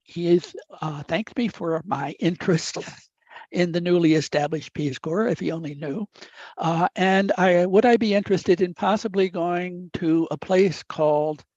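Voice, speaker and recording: A man's voice: high voice, darkish tone, no room sound. Older man, Italian native, Midwest-raised; reflective storyteller with frequent fillers; dull, dry recording. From upper Midwest United States. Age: 80s. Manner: measured, deliberate, with frequent uh fillers. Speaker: guest speaker.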